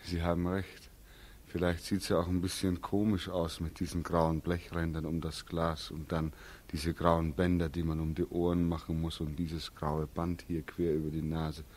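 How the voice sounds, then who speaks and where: An actor playing a weary, self-conscious returning soldier with a lame leg in a 1940s German radio play. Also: male voice, low-pitched, level tone, normal speed, darkish tone. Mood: dejected. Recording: vintage 1940s radio recording with music.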